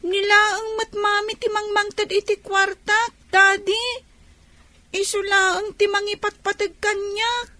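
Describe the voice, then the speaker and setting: A woman's voice: very high voice, flat delivery, sharp tone, no echo, full character voice. A woman playing an innocent, inquisitive young child in an Ilocano-accented radio drama, speaking simply and questioningly in a small, thin voice. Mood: innocent, puzzled. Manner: slow, simple, questioning delivery. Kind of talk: Filipino radio drama acting.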